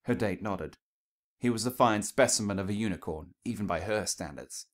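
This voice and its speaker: A man's voice: on the low side, normal speed. A man reading scripted fiction aloud as a narrator, in a storytelling style.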